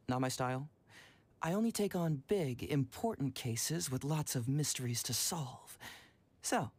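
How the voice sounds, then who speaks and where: A man's voice: a little high, darkish tone, no echo. A man as a cocky, breezy young detective. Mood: teasing. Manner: chatty, teasing, self-assured. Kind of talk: game character acting.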